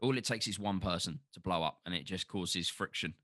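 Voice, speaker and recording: A middle-aged Londoner with a Cockney accent talks in a low voice, recorded dry and close. He speaks with passion, often restarting his sentences and dropping in the odd swear word. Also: a little fast, fairly bright tone, no room sound. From London, England. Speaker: podcast guest. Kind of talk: casual podcast conversation.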